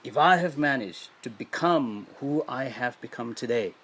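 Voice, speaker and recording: A man from Nepal with a Nepali accent and a husky voice, giving an earnest motivational speech with emphatic, deliberate phrasing and pauses. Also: medium pitch, expressive, a bit bright, slight background noise. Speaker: education activist, motivational speaker.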